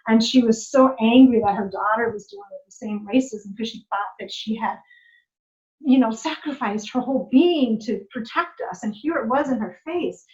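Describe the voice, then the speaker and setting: A woman guest in a personal conversation, reflective and affirming, filling her speech with "like" and "you know". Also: fairly high pitch. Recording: muffled lo-fi recording.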